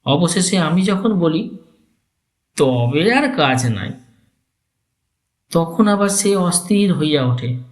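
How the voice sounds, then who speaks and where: A man acts out an elderly village uncle from a dramatized classic story. He talks very slowly and formally, laying out his schemes with cool calculation and deliberate phrasing. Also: a little high, animated. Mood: calculating, persuasive. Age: older. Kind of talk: dramatized literary story reading.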